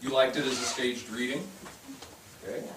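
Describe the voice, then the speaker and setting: A man's voice: medium pitch, steady tone, normal speed. A man hosting informally, addressing a theatre audience and inviting their feedback. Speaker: theatre company host. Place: indoor theatre stage. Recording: roomy theatre, distant mic, noisy.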